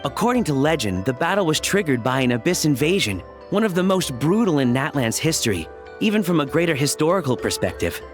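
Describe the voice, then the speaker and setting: An actor playing a keen, courteous researcher who explains in a precise, scholarly storytelling manner in a video game. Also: male voice, a little high, big pitch swings, brisk, soft background music. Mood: absorbed, explanatory. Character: keen, courteous male researcher of ancient ruins. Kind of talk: video game voice acting, scripted exposition.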